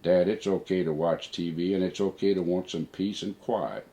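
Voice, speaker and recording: Older male, father figure with a very deep voice, for personal letter readings and reflections. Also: fairly even intonation, normal speed, dark tone.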